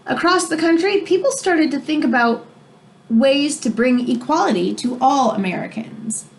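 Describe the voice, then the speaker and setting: A woman reading a children's book aloud in an expressive way, as if explaining it to young listeners. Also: sits high, moderate pace, gravelly, a little hiss or hum, low-quality recording. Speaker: read-aloud storyteller.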